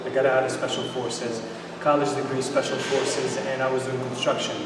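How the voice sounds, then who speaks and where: A middle-aged man from Bosnia, a native Bosnian speaker with a Bosnian accent, tells stories in an interview at a medium pitch. The sound is very reverberant, with clear noise around him. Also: little pitch movement, normal speed. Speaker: interview guest, supplement company founder. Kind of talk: conversational interview storytelling. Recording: echoey hall, distant mic, noisy ambience.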